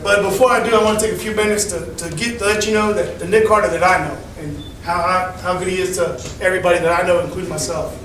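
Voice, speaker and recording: A man with a loud, folksy way of talking, chairing a meeting and making announcements to a crowd in a community center hall. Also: high-pitched, fairly even intonation, fast talker, few pauses, a little hiss or hum. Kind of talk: public address to a meeting. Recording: echoey hall from far off.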